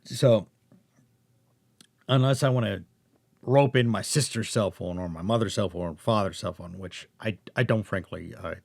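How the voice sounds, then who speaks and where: A man giving a rambling, casual update to viewers, halting and often rephrasing himself as host and narrator. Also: lowish voice, gravelly, darkish tone. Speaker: audiobook narrator and channel host.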